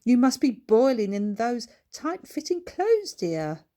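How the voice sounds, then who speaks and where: A woman's voice: a little high, sing-song, slow, dark tone, voice pushed up. A woman voicing a wiry, grand, affectionate lady of the manor, genteel, effusive and used to giving orders, in a storybook. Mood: warmly effusive.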